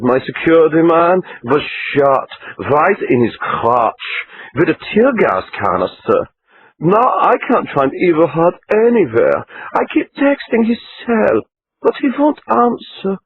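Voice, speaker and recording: A man's voice: a little high, big pitch swings, unhurried, a little hiss or hum, voice pushed up. A man voicing a self-absorbed blonde supermodel woman with an Austrian-American accent, in an audiobook. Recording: tinny phone-like sound.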